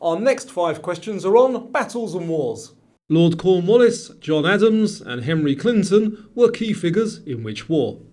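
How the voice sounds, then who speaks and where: A man hosting a quiz, reading scripted questions and instructions to the player in a clearly enunciated presenter's delivery, with music behind him. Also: high-pitched, lively intonation, a little slow, dark tone. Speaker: quiz show host. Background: background music.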